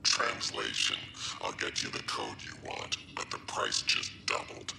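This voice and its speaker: An extremely deep, gravel-crusted alien crime broker in a video game. The growl has a very sharp, forceful edge, and every line sounds like a threat wrapped in a business deal. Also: male voice, huge pitch swings, measured pace, a little hiss or hum, exaggerated voice. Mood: menacing, businesslike. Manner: transactional, threatening.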